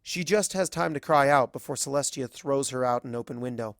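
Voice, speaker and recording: Someone narrating a fanfic, expressive scripted reading, medium pitch, super dry close recording. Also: brisk, dry recording. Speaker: narrator, fan fiction reader.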